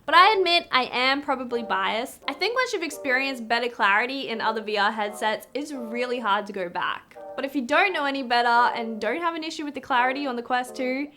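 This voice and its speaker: A woman's voice: high-pitched, animated, fairly quick, few pauses, bright, forceful tone, faint music behind. A young Australian woman with a stuffy nose from a cold, giving an upbeat, chatty tech review to camera. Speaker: VR tech YouTuber.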